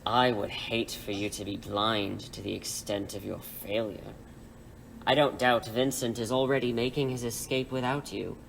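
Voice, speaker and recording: A man playing a menacing crime boss in an audio drama, with a mockingly polite, formal, unhurried manner. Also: fairly low pitch, gravelly, a little hiss or hum, rough recording. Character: suave, menacing male crime boss interrogating captives.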